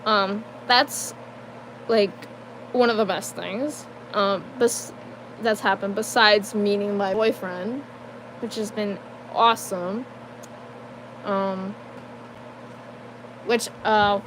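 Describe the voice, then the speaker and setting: A young adult woman giving a casual personal life update, halting and full of fillers, with nervous laughs between sentences. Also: medium pitch, background noise. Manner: hesitant, halting, many fillers and restarts. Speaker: vlogger.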